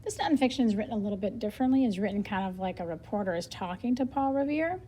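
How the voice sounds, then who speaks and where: A woman reading a nonfiction book aloud as a lesson for children, expressively, pausing to explain things simply and think out loud. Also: mid-range voice, expressive, a little hiss or hum, low-quality recording.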